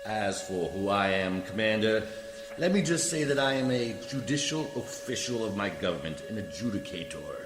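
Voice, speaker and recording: A man's voice: fairly low pitch, lively intonation, moderate pace, natural voice. A man acting a coolly formal, condescending alien judicial official in a science fiction radio play, speaking with precise diction. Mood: formal, unruffled. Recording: echoey hall reverb, music behind.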